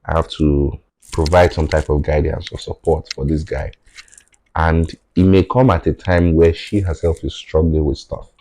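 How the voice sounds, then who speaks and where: A Nigerian man, a native Yoruba speaker, reflects thoughtfully as a podcast guest. He speaks at a very low pitch and checks in with "right?" as he builds each point. The sound is close and dry. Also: fairly even intonation, warm tone, no echo, low-quality recording. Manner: measured and thoughtful, often tags "right?". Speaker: podcast guest, tech founder. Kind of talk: reflective conversational interview.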